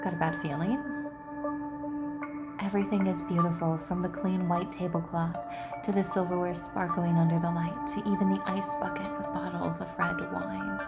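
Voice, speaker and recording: Lower-pitched woman reading over loud music. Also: flat delivery, moderate pace. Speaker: gaming commentator. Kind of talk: reading game text aloud with casual reactions. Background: loud game music.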